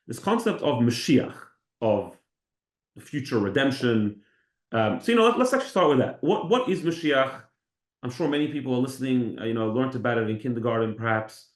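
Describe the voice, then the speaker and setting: A man's voice: medium pitch, lively intonation, fairly quick. A man co-hosting a conversational religious discussion, speaking informally and posing questions. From Australia. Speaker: co-host of a religious discussion show. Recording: echoey room.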